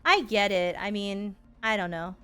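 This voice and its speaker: A woman's voice: mid-range voice, unhurried, a bit bright, clean tone. A woman in her thirties giving casual, playful gaming stream commentary, full of slang and swearing. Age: 30s.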